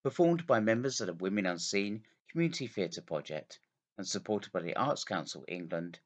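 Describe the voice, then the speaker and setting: A man's voice: lowish voice, lively intonation, moderate pace. A man reading scripted closing credits as an announcer. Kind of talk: scripted narration.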